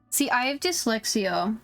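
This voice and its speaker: A woman's voice: on the high side, creaky, a bit bright. A woman giving casual live gameplay commentary as a streamer, with chatty, informal asides to her viewers. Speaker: video game streamer. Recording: game music behind. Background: video game music.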